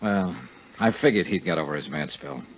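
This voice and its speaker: An actor playing a tough, cynical insurance investigator in a 1950s radio detective drama, with a hard-bitten, wry, clipped delivery. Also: male voice, sits low, expressive, moderate pace, breathy edge. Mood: cynical, probing. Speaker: lead actor and narrator. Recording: muffled, hissy 1950s radio recording.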